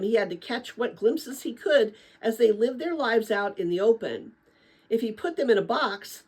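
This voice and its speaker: A woman reading a children's book aloud in an expressive, emphatic storytelling manner, with casual asides to listeners. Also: a little high, breathy edge. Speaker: read-aloud host, narrator.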